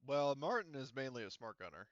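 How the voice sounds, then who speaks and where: A man acting as game master of an online tabletop roleplaying game, explaining rules in a halting, deliberate way over a voice chat. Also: medium pitch, sing-song, dry recording, lo-fi sound. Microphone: online voice call microphone.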